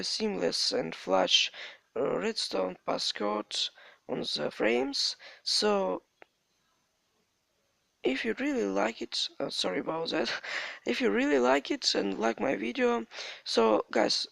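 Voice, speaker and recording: Gravelly, high-voiced Ukrainian man. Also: fairly bright tone, no room sound. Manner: halting non-native English, repeats stock phrases. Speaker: game tutorial creator. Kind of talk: step-by-step gameplay tutorial narration.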